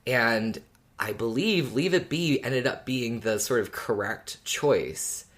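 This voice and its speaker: Thoughtful, hesitant podcast speaker, medium pitch. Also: takes it slowly, fairly bright tone. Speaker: podcast co-host or guest discussing an audio drama. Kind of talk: casual fan discussion.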